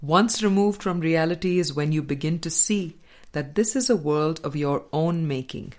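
A woman reading a spiritual dialogue book aloud as an audiobook, in a measured, deliberate manner with pauses. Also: low voice. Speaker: audiobook reader.

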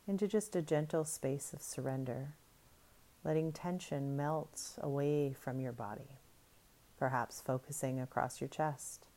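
A woman guiding a breathing meditation in soft, soothing, unhurried instructions. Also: a bass voice. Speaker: meditation guide.

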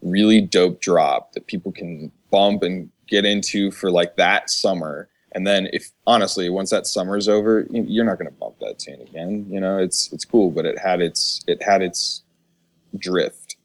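A man with a low voice chats casually as a podcast guest. He talks like a musician among friends, emphatic and slangy, with some swearing. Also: normal speed. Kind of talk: casual chat.

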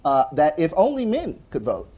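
A young adult man on a conference panel, talking earnestly and fast with frequent restarts and "right?" tags. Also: fairly high pitch, wildly swooping pitch, mellow, breathy edge. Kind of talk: panel discussion answer. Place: conference hall with audience.

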